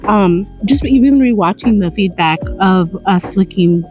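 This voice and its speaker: A woman's voice: medium pitch, lively intonation, a little slow, darkish tone, soft background music. A woman in her early thirties with an American accent, chatting casually and reminiscing as a vlog co-host in bubbly, slangy, informal speech. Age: early 30s. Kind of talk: casual chat reminiscing with a friend. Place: outdoors in a park.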